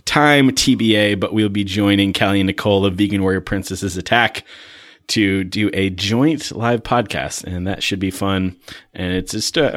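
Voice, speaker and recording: A man co-hosting a podcast, chatting informally with wry banter. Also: lowish voice. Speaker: podcast co-host. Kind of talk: casual co-hosted podcast chat.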